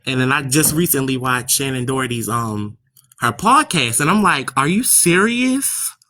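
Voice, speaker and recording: A man's voice: mid-range voice, expressive, normal speed, sharp tone, slight background noise. An eighteen-year-old man calling in as a livestream guest, talking casually and colloquially with some emphasis. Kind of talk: casual chat.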